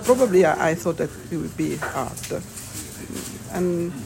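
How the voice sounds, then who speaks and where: A woman speaks very slowly and pauses to find her English words. A crowd murmurs clearly behind her. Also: on the low side, lively intonation, rough recording. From Italy. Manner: slow, hesitant, searching for words. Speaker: pilgrim interviewee.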